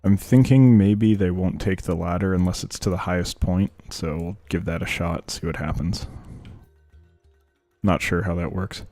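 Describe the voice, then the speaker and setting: A man's voice: deep voice, normal speed, dark tone, no echo, faint music behind. A young man with a sore throat, chatting and venting casually while playing a game. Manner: rambling, venting, frank. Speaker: gaming YouTuber. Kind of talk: casual gameplay commentary.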